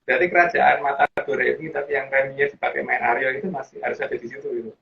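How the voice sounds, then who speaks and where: A man's voice: mid-range voice, fairly even intonation, quick pace, vocal fry. A man chatting casually on a live stream, rambling with fillers and restarts as he tells a local legend. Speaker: co-host. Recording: dull lo-fi stream audio.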